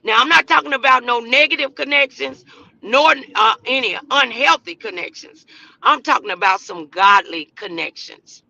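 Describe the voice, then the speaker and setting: Very high-pitched, bright-voiced man preaching motivation. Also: moderate pace, creaky, lo-fi sound. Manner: exhorting, repeats phrases for emphasis, rhetorical questions. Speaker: motivational show host.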